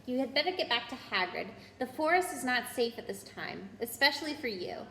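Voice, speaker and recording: A woman's voice: fairly high pitch, very animated, a little fast, vocal fry, pushed and bright, exaggerated voice. A woman voicing a noble, grave male centaur, solemn and formal, in a storybook reading. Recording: roomy echo with noise.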